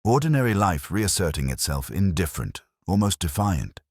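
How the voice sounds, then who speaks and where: A man reading a scripted first-person detective story in a measured, brooding, reflective way. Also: sits low, very animated, no room sound, clean studio sound. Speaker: narrator.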